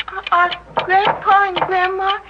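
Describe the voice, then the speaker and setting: A woman's voice: very high voice, monotone, measured pace. An actress in a 1960s radio drama voicing a curious little girl who asks timid questions. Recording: dull old radio recording with noise.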